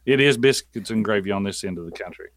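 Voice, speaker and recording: A man's voice: lowish voice, no room sound, studio quality. A man with a thick Southern American accent, a podcast guest chatting casually and hesitantly, often restarting his sentences. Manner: halting, self-interrupting, drawn out. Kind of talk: casual chat.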